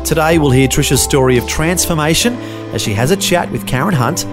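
An Australian man introduces the program in a smooth, measured presenting voice, pitched slightly high. Guitar music plays clearly behind him. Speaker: podcast host. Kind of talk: scripted show introduction.

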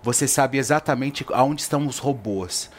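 A Brazilian man on a morning talk panel, quick with joking asides. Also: medium pitch. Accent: Brazilian Portuguese. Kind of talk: casual studio chat.

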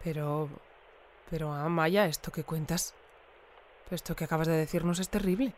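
An actor plays an inquisitive interviewer on a fictional radio news show. The voice sits at a middle pitch. The delivery is natural and explanatory, in audio drama style. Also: fairly even intonation, normal speed, a little hiss or hum, lightly acted. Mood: shocked.

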